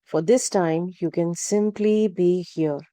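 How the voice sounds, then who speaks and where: A woman leading a guided relaxation practice, speaking calmly and gently, with unhurried instructions and long pauses. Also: on the low side, no room sound. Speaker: yoga nidra guide. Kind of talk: guided meditation narration.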